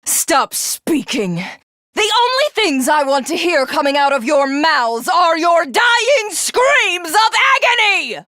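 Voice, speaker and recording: A woman's voice: very high voice, sing-song, slow, sharp tone, no room sound, clean studio sound, full character voice. A woman playing a cruel, megalomaniac villainess in a video game, grandiose and theatrical, with a sadistic streak and a hunger to be God. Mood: enraged, frantic.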